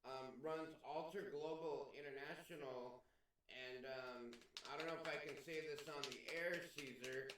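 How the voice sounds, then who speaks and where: A man's voice: mid-range voice, fairly even intonation, moderate pace, distant mic. A man talking casually as a musician guest on a radio show. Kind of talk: casual chat. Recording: very echoey, off-mic, faint background noise.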